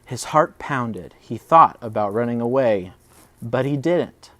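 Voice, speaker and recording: A man reading a children's story aloud in an expressive, dramatic way. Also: mid-range voice, unhurried, dry recording. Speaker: preschool storybook reader. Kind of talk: animated children's story narration.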